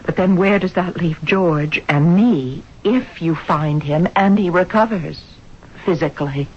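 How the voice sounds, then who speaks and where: An actress playing a distressed, bewildered wife in a 1970s radio drama, earnest and dramatic. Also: female voice, lowish voice, a little slow, husky. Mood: disbelieving. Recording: muffled, noisy vintage radio broadcast.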